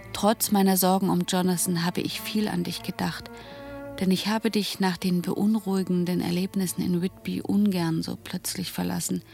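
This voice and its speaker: Young worried letter writer, radio play, gentle n composed, low voice, soft music behind. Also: female voice, gravelly. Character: thoughtful young Englishwoman, caring and worried. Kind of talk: radio drama, narrating letters and diary.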